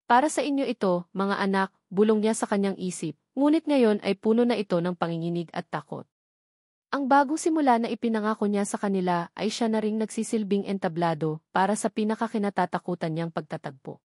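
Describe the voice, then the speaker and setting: Lady narrator doing a dramatic love story, expressive, really dry sound no room. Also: mid-range voice, no echo.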